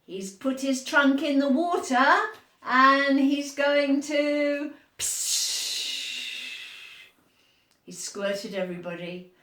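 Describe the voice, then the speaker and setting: Female storyteller, higher-pitched, echoey room, animated picture-book reading with teacherly asides for little ones. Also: extremely slow, long pauses. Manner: encouraging, explains and asks questions to young listeners. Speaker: nursery storyteller reading picture books to children. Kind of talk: animated read-aloud storytime with asides to young children.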